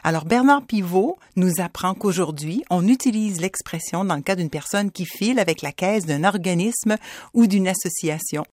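A woman hosting a radio show, presenting in an expressive, outgoing manner. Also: on the low side, normal speed, dry recording, studio quality. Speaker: radio host.

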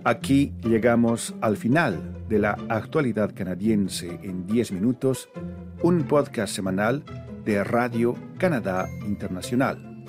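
A man hosting a weekly news podcast, reading in a measured, formal way. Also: fairly low pitch. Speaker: podcast host. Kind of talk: news narration. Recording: dry studio with music bed.

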